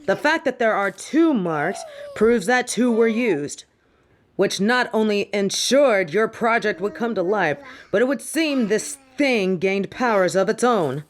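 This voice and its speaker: A woman playing a stern, contemptuous older headmistress of a magic school in a fantasy audio roleplay, speaking in a haughty, formal, measured way. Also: mid-range voice, animated, slight background noise, natural voice. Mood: stern lecturing.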